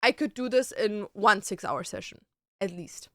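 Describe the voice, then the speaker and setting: Bright-voiced chatty young woman streamer. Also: medium pitch, normal speed, no echo, studio quality. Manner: chatty, loose, peppered with "like" and "dude". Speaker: streamer and tattoo artist. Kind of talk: casual chat answering viewer questions.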